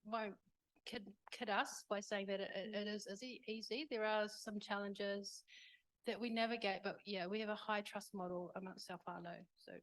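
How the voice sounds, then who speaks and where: A woman, a school board member, speaking haltingly with Māori words mixed in. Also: medium pitch, fairly even intonation, no echo, low-quality recording. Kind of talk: lecture Q&A. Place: parish hall.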